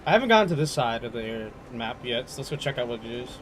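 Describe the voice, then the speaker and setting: A man's voice: mid-range voice, big pitch swings, fast talker, a little hiss or hum. A young man giving casual, slangy gameplay commentary, often talking to himself as he plays. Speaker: video game streamer. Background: game music.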